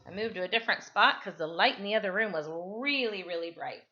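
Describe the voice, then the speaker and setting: Female storytime reader, bright and forceful, casual storybook delivery, recorded at home in a roomy, echoing space. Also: mid-range voice, lively intonation, fairly quick. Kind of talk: casual storybook read-aloud for children. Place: indoors at home, kitchen and another room. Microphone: camera microphone. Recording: echoey room, slightly dull camera audio.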